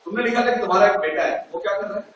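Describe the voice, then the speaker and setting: A man's voice: sits high, rapid-fire, fairly bright tone, a little hiss or hum. A man on stage reading out a nostalgic, joking letter to an old college friend in an auditorium. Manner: nostalgic, jokey letter-writing tone. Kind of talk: stage play monologue. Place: large auditorium. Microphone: unamplified stage, far from the recorder. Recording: echoey hall from far off.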